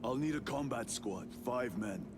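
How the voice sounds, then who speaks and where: A man's voice: a little slow, natural voice. A man acting a stoic veteran captain of space soldiers in a video game, terse, restrained and solemn. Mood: quiet, firm. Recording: loud music and battle rumble behind.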